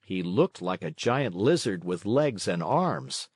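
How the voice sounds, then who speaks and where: A man's voice: deep voice, big pitch swings, takes it slowly, husky, no echo, studio quality. A man reading a children's story aloud as a scripted narration.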